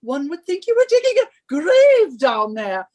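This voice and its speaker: A woman's voice: sits high, sing-song, unhurried, dark tone. A woman playing an imperious, henpecking wife in a radio suspense drama, nagging and scolding with theatrical emphasis. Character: bossy henpecking British wife, shrill and imperious.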